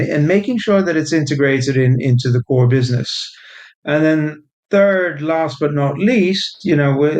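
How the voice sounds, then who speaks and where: Soft-spoken executive chairman, dull remote audio. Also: mid-range voice. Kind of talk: business interview answers.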